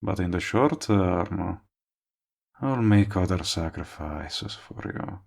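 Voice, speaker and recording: A man's voice: sits low, animated, a little mellow, full character voice. A man playing a smug, possessive, lovestruck villain in a romantic comedy audio roleplay, talking to the listener in a sardonic, persuasive way. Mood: earnest, wheedling. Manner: smooth-talking, sardonic, drawn-out emphatic phrasing. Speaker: voice actor.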